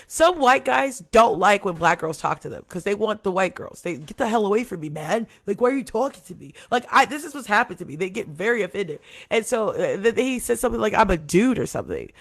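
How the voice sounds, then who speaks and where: A woman with a deep voice hosting a live talk show, chatting casually and rambling with laughs. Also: sing-song, fairly quick, creaky, hardly any pauses, dry recording. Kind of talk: casual live commentary chat.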